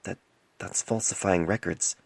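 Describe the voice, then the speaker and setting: A man's voice: low-pitched, steady tone, a little slow, vocal fry, husky, full character voice. A man voicing an earnest, hesitant young male pony doctor in an audio storybook, recorded on a phone. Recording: noisy amateur cell phone mic recording.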